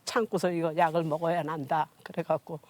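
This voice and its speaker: A woman's voice: sits low, little pitch movement, fairly quick, darkish tone, slight background noise. A woman in her sixties telling personal stories as an interview guest, with plain, homely, unpolished speech. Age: 60s. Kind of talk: personal storytelling in conversation. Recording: music behind the voice.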